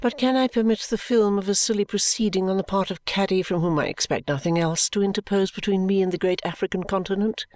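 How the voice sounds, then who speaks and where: A woman reader voicing a middle-aged mother who answers her daughter in a calm, clear, complacent and coolly dismissive way. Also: lowish voice, fairly quick, no room sound.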